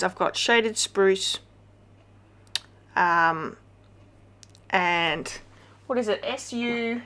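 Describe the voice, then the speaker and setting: A woman's voice: mid-range voice, fairly bright tone. Chatty Australian woman, craft video. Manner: chatty, hesitant with fillers and self-corrections. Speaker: papercraft demonstrator. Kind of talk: casual craft tutorial chat.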